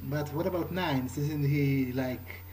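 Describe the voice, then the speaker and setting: A man's voice: fairly high pitch, slow pace, background noise. A man talking casually and hesitantly in character as he plays through a roleplaying game. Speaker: player character in a roleplay playthrough. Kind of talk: in-character game dialogue, casual improvised speech. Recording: game music behind the voice. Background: video game music.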